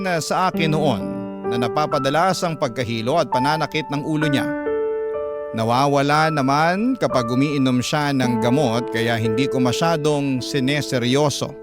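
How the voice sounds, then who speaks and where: Filipino male storyteller over prominent music. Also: on the high side. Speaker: radio host reading a listener's letter. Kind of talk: dramatic scripted first-person storytelling.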